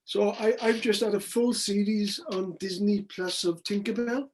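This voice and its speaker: A man's voice: high voice, steady tone, a little slow, a little mellow, a little hiss or hum. An older man interviewing in a casual, chatty style, with a rambling, inquisitive manner.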